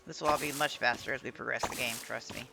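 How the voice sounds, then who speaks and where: A woman's voice: a bass voice, fairly even intonation, fast talker, vocal fry, very noisy. A woman giving casual commentary as she plays a video game, thinking aloud and reading out menu items. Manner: thinks aloud while playing, reads menus. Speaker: gameplay streamer.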